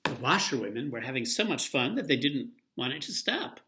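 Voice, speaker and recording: A man reading a picture book aloud as a storyteller, with a lively, expressive delivery. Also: mid-range voice, a little fast.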